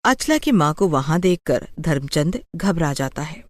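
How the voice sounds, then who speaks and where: Expressive, lower-pitched woman narrating a story. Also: measured pace, dry recording. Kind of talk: scripted bedtime story narration.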